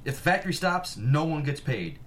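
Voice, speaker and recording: A man's voice: fairly high pitch, sing-song, fairly quick, fairly bright tone, a little hiss or hum. A man playing a stern, domineering 1890s industrialist in a period drama table read, pompous and oratorical. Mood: stern, cutting. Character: stern, domineering 1890s industrialist boss, grandly self-righteous. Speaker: actor.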